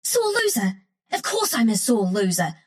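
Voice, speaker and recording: A woman's voice: fairly high pitch, big pitch swings, moderate pace, breathy edge. A woman voicing a cheeky, scrappy little female woodland critter bandit for a video game, with an Antipodean accent and cocky, slangy delivery. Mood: exasperated. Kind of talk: video game character voice lines.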